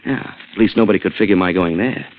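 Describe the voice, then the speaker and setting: A man's voice: low voice, fast, a little hiss or hum, natural voice, rough recording. A man playing a brash, wisecracking newspaper reporter who narrates his crime story in wry, clipped, streetwise hardboiled radio style. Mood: wry, tense narration. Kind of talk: hardboiled 1940s radio drama acting. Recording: vintage radio recording with music behind.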